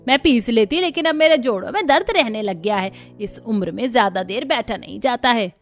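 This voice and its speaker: A woman's voice: on the high side, lively intonation, brisk, faint music behind. A woman voicing an older mother-in-law, insistent and dismissive, in a cartoon family story. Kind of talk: Hindi cartoon story voice acting.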